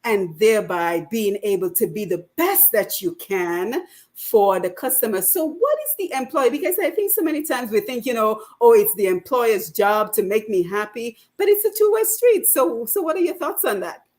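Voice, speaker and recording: A woman's voice: fairly high pitch, very animated, moderate pace, darkish tone. A woman hosting a radio talk show, posing questions to her guests and reading out viewer comments. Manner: reads out viewer comments, hands the floor to guests.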